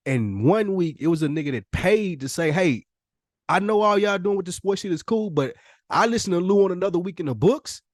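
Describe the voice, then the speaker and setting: A man's voice: high-pitched, lively intonation, a little fast, dry recording. Candid, self-effacing man hosting a podcast, dry sound. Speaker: podcast host. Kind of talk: casual, candid podcast conversation.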